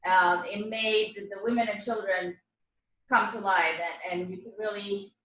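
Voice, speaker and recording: A woman's voice: medium pitch, fairly even intonation, moderate pace, sharp tone, echoey room, recorded from a distance, rough recording. A woman with a Swedish accent, giving grateful closing remarks on a video call, with some fillers as she talks. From Sweden.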